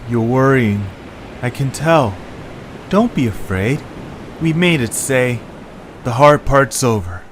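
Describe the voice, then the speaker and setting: An excitable young male adventurer acted by a man in an audio drama, his pitch leaping around. He is dramatic and slangy, quick to complain yet good-hearted. There is a layer of noise behind the slow delivery. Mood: reassuring. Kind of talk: amateur audio drama acting.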